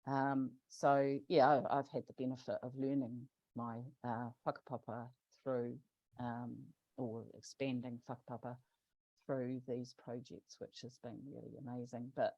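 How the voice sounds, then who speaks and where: A man presenting a project in an online webinar, talking haltingly with many fillers and restarts. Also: medium pitch, little pitch movement, darkish tone, dry recording. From New Zealand. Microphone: video call. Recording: dull lo-fi call audio.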